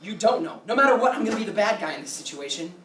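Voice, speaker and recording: A woman's voice: mid-range voice, expressive, racing, fairly bright tone, lightly acted. A woman playing a blunt, sardonic teenage best friend in a staged play reading, dry and exasperated. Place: room at a live staged reading. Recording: echoey room, distant mic, faint noise.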